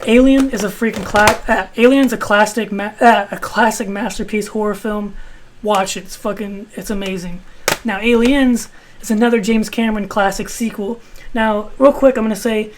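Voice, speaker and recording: A man in his twenties with a high-pitched voice, reviewing his film collection in a rambling, casual way with some swearing. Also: steady tone, normal speed, a little hiss or hum. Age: 20s. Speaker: video host.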